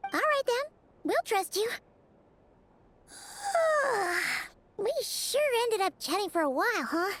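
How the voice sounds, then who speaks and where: A woman voicing a tiny, childlike fairy companion in a video game, squeaky, chatty and curious. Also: sing-song, slow, long pauses, fairly bright tone, full character voice. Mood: relieved, chirpy. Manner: refers to herself in third person, chatty.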